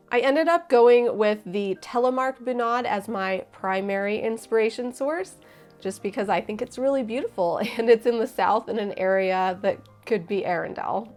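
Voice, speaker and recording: An earnest young American woman talks through her costume research in a steady, scripted way. Her voice sits at an ordinary middle pitch, with faint music underneath. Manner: earnest, explanatory. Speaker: costume maker. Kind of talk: scripted sewing narration.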